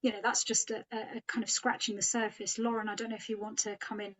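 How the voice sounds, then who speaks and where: A woman's voice: medium pitch, level tone, fast talker, fairly bright tone. A woman from Sri Lanka, a headache specialist, talking thoughtfully in a podcast discussion with frequent fillers. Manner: thoughtful, many fillers like "you know".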